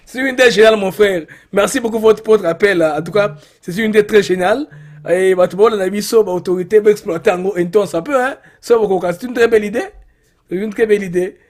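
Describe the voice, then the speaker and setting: A man with a fairly high voice and a Congolese accent hosts a live call-in show. He chats casually and eagerly, repeating himself as he responds. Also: fast. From Democratic Republic of the Congo. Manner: enthusiastic, repeats phrases, frequent backchannels. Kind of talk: casual live phone-in chat.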